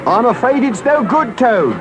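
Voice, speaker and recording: A man's voice: extremely high pitch, sing-song, a little slow, music in the background, voice pushed up, exaggerated voice. A man voicing a stern but kindly engine driver in a storybook, earnest and plain-spoken. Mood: urgent.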